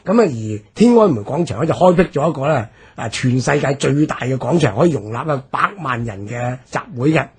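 A man with an extremely husky, breathy voice and a Hong Kong Cantonese accent. He comments on history in unscripted discussion at a middling pitch, halting often on drawn-out particles. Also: animated.

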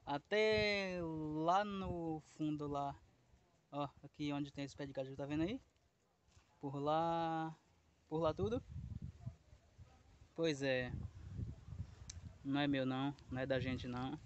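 A man's voice: on the high side, steady tone, slow, pauses often, highs cut off, a little hiss or hum. A man in his thirties vlogging casually outdoors on a lapel mic, chatty and rambling as he talks straight to his viewers. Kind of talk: casual vlog chat. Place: outdoors in a rural yard and fields.

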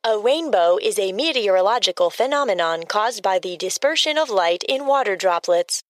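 A woman giving scripted encyclopedic asides in a deadpan, literal, precise manner. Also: mid-range voice, moderate pace, no room sound, clean studio sound. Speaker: fact-giving co-narrator.

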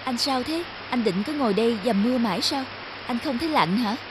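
A woman playing a kind, sensitive young woman in an animated drama, speaking earnestly and with emotion. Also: fairly high pitch, fairly quick, noisy background, lightly acted. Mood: concerned, gentle. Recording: music behind.

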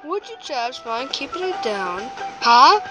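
A woman's voice: high-pitched, very animated, measured pace, music in the background, low-quality recording. A woman performing an amateur comic dub, acting out cartoon characters.

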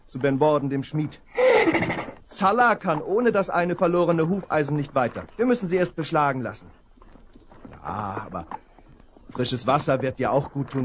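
A plucky young rancher's son talks boldly and cheerfully in a high, lively voice, as an actor plays him. Clear hiss and crackle from an old vinyl record run under him. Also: male voice, natural voice. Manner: cheerful, bold. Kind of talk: 1970s radio play acting.

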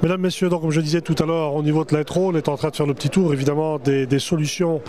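A middle-aged man works the trade-fair floor with a handheld mic, talking fast in a chatty, salesman-like patter. His voice sits a bit high but sounds dark and mellow. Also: steady tone. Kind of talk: promotional interview at a trade show. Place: exhibition hall stands. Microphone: handheld microphone.

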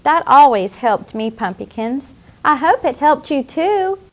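Woman acting sweet young female clown, very high voice, slow, encouraging, children's show host. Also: very animated, full character voice, rough recording. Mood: gentle, caring. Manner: gentle, encouraging.

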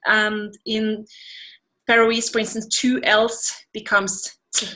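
A woman from Norway with a Norwegian accent, a guest singer answering interview questions on a video call from a plant-filled room at home, animated and laughing as she talks. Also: medium pitch, slow, low-quality recording. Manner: animated, gestures a lot, laughs while talking. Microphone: video call webcam.

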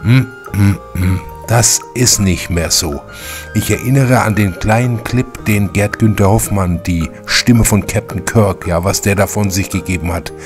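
A man with a low voice hosts with informal commentary. He addresses viewers familiarly and gives his opinions chattily, with music clearly behind him. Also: unhurried. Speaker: channel host.

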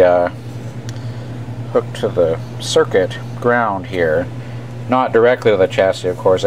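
A man's voice: fairly low pitch, low-quality recording. A man casually and matter-of-factly explaining a hands-on repair in an unscripted way as he works at an indoor bench. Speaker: radio repairer presenting his work. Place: indoor workbench. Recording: steady hum and room noise.

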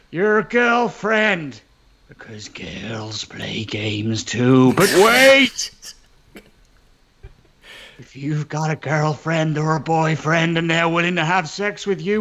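A man putting on a deep, over-the-top movie-trailer announcer voice, drawing out the words and swooping up and down. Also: fairly bright tone, highs cut off, full character voice. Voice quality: gravelly, deliberately deepened, swooping and over-the-top.

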